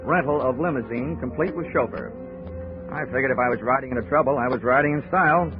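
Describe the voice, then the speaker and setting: A man's voice: medium pitch, fast, close to an everyday voice. Guy doing a cocky wisecracking investigator, tinny old radio, music behind. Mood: narrating. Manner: wry, wisecracking narration. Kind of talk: 1940s radio acting.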